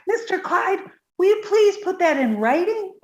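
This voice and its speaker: A woman's voice: very high-pitched, big pitch swings, a little slow, reverberant, heavily acted. A woman playing a naive, dim young secretary with an earnest, literal manner in a 1950s-style radio comedy.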